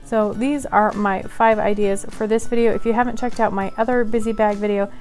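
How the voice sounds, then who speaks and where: A woman hosts a relaxed craft tutorial. She talks in a friendly, chatty way at a middling pitch and sometimes laughs at her own slips. Soft music plays faintly behind her. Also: fairly quick. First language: English; Polish is her second language. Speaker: craft channel host.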